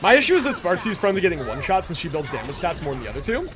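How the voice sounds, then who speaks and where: A man livestreaming a video game with casual commentary, thinking out loud in slangy, sweary talk. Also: high voice, huge pitch swings, very fast, over music. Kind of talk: casual gaming stream commentary.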